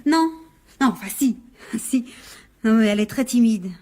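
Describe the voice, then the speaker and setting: An actress playing a cheeky, defiant young woman of twenty-one who is quick to talk back in a French radio crime drama. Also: on the high side, expressive, dark tone, close to an everyday voice. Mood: evasive, explaining. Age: young adult. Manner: impudent, quick to retort. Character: cheeky, defiant 21-year-old woman with a muddled alibi.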